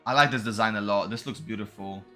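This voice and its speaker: A man's voice: lowish voice, quick pace, pushed and bright, soft background music. A young man giving casual, slangy gameplay commentary, musing aloud as he goes along. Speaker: game streamer.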